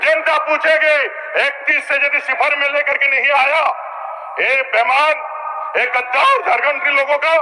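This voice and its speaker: A man's voice: very high voice, fairly even intonation, measured pace, pushed and bright. A man gives a combative, accusatory political speech in an assembly, repeating phrases for emphasis. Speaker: opposition legislator. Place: large indoor legislative chamber. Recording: reverberant hall, public address sound.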